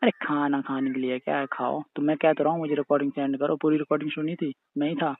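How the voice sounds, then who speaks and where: A man's voice: a little high, flat delivery, fast talker, no echo. A man chatting casually on a recorded phone call, questioning and protesting defensively.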